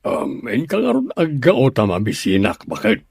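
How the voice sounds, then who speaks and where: A man's voice: on the low side, wildly swooping pitch, moderate pace, vocal fry, a little mellow, husky, no echo, clean studio sound, exaggerated voice. A man playing a blunt, impatient working man in an Ilocano audio drama.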